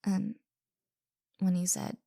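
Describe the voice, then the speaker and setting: An anxious young woman played by a woman in an intimate audio drama, full of self-doubt and apology. She speaks slowly and haltingly in a soft voice with a creaky edge, stammering and sighing, often sinking to a whisper. The sound is close and dry. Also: mid-range voice, little pitch movement, no echo. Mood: flustered, self-doubting. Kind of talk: intimate audio roleplay acting.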